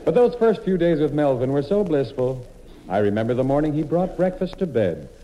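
A man's voice: on the high side, very animated, brisk, muffled recording. A man performing scripted comedy narration on old-time radio, telling his story in a mock-earnest way. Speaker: comedian and monologist. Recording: noisy old radio broadcast recording.

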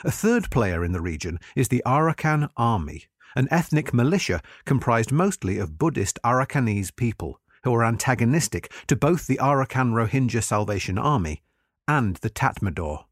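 A man's voice: medium pitch, big pitch swings, moderate pace, dry recording, studio quality. A man reading a magazine article aloud as scripted, documentary-style narration.

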